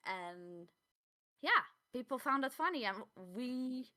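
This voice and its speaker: A woman's voice: high voice, big pitch swings, takes it slowly, sharp tone. A woman co-hosting a podcast in a casual, conversational style. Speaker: podcast co-host.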